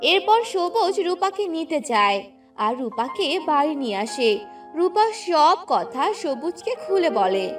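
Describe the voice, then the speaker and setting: A woman's voice: high voice, sing-song, takes it slowly, far from the mic, over music. A woman narrating a scripted cartoon story as a storyteller.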